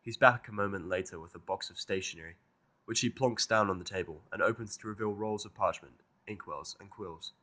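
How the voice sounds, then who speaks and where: A man's voice: lowish voice, brisk, pushed and bright. A man reading a fan fiction story aloud as a scripted first-person narrator.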